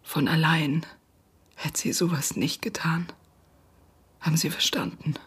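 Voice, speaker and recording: A woman playing a shattered, stubborn mother in a radio drama, speaking haltingly in a husky voice that often drops near a whisper. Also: low-pitched, steady tone, exaggerated voice. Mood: numb, bitter. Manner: halting, short numb sentences. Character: shattered mother told of her daughter's death, stubborn, disbelieving. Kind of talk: literary radio drama acting.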